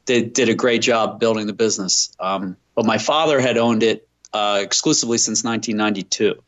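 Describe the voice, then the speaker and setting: A man's voice: fairly low pitch, a little fast, highs cut off. A middle-aged man giving conversational answers as a business guest in an interview. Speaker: interview guest, company president.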